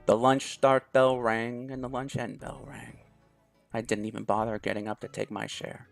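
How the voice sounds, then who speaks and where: A man's voice: mid-range voice, little pitch movement, moderate pace, vocal fry, no echo, faint music behind. Young man reading a game aloud. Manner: chatty, laughs easily, thinks aloud. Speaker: let's play host. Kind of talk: reading a visual novel aloud.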